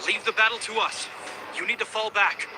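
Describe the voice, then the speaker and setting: An actor playing an earnest, dutiful soldier of a city guard in a video game, speaking with command. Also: male voice, high voice, steady tone, fairly quick, natural voice. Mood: urgent, commanding. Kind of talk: English game dub dialogue. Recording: clearly noisy, game ambience behind.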